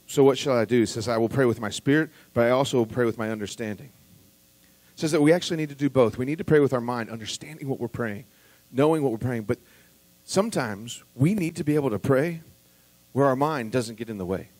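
A man preaching a sermon as pastor, earnest and conversational, rising to emphatic peaks and dropping quiet again, with restarts and asides to the congregation. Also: medium pitch, brisk, no echo, rough recording.

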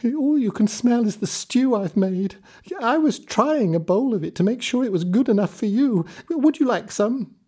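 A nervous old woman fusses and warns in a squeaky, breathless voice, as a male storyteller puts her on. Her tone leaps high above his own and carries a husky edge. She frets like a timid servant in a storybook. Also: animated, moderate pace, darkish tone, full character voice. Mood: fussing.

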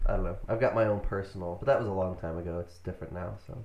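A man's voice: low-pitched, steady tone, very fast, a little mellow. A man in his thirties with an American accent, chatting casually as a guest in a rambling, conversational way. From Southern California, United States. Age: 30s. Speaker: interview guest, band member. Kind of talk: casual chat. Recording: roomy, echoey sound.

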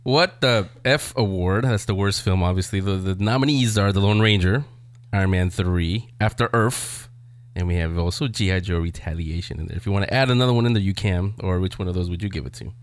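A man with a fairly low voice hosts a casual interview in a dry-sounding space. He chats informally and likes to slip in wisecracks as he goes. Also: a little fast, no echo. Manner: joking, wisecracking. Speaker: show host and interviewer.

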